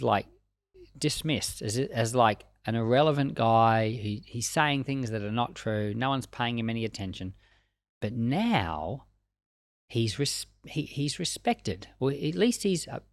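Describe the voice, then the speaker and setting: A man's voice: mid-range voice, no room sound. Man teaching the Bible, dry close sound. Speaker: Bible teacher reading scripture and commenting. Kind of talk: scripture reading and informal commentary.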